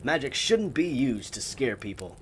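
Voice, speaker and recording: A man's voice: mid-range voice, sing-song, normal speed, a little hiss or hum, close to an everyday voice. A man as a courteous, earnest traveling magician. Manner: courteous, slightly formal phrasing. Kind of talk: audio drama acting.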